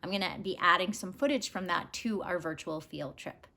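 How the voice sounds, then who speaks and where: A woman in her thirties reading aloud like a teacher, with an expressive, emphatic storytelling delivery for children. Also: on the low side, moderate pace, gravelly. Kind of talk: expressive children's picture-book read-aloud. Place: small living room at home, indoors.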